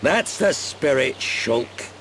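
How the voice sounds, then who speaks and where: An actor playing a gruff, worldly older adventurer and guide in a video game cutscene, with a knowing, grumbling manner. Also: male voice, mid-range voice, animated, slow, a bit bright, slight background noise. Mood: steady, assured.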